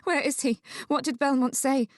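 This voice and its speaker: A woman's voice: medium pitch, level tone, moderate pace, no room sound, studio quality, natural voice. A woman voicing an anxious, strong-willed young mother in a Regency romance audiobook, urgent and formally worded. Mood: anxious, doubting.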